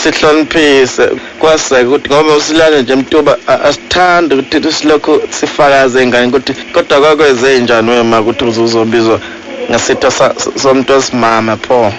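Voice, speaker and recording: A man with a South African accent from KwaZulu-Natal, making a prank complaint call in a halting, repetitive, earnest and persistent manner. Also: medium pitch, fairly even intonation, a little hiss or hum, poor audio. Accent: South African Zulu-speaking, KwaZulu-Natal. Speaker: radio prank caller.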